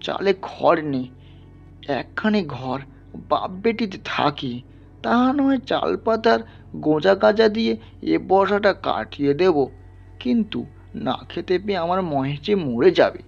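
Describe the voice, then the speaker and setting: A man's voice: high-pitched, animated, measured pace, vocal fry, highs cut off, faint music behind, pitched above the performer's own voice, heavily acted. A man voicing a poor, sickly peasant who pleads humbly and sorrowfully in an audio story. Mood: plaintive, pleading. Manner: pleading, plaintive, deferential.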